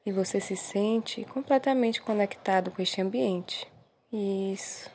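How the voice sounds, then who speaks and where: A slow-speaking Brazilian woman leads a guided meditation. Her delivery is gentle and soothing, with brief words of encouragement between instructions. A faint ambient noise sits behind her voice. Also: mid-range voice. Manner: soothing, gentle, unhurried with short encouraging phrases.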